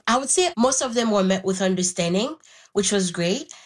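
A woman in her twenties from Haiti whose first language is Haitian Creole, speaking thoughtfully in an interview with frequent pauses and restarts. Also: medium pitch, animated. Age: 20s. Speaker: interview guest. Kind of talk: reflective personal conversation.

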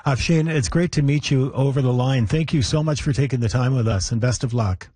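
A man hosting a broadcast interview, putting questions in a measured, polite way. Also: mid-range voice, darkish tone, husky, no echo. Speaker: radio interviewer, host.

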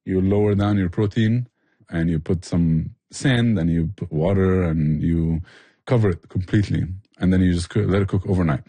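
A man's voice: low-pitched, moderate pace, mellow, breathy edge, dry recording. A man explaining things with passion in a conversational interview. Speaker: barbecue restaurant owner and chef, interview guest.

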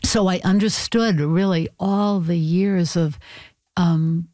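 A woman's voice: fairly low pitch, steady tone, slow, mellow, no room sound, clean studio sound. A middle-aged woman from Maine, speaking as an interview guest, thoughtful and hesitant, with many fillers and restarts. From Portland, Maine, USA. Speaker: interview guest, stage actor. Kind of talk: reflective casual conversation.

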